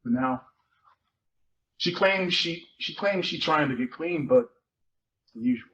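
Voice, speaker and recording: A man's voice: a little high, measured pace, a bit bright, highs cut off. A man performing a cool, teasing teenage boy with casual, slangy speech in a dramatic reading of a novel. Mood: guarded. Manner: slangy, casual, dropped verbs.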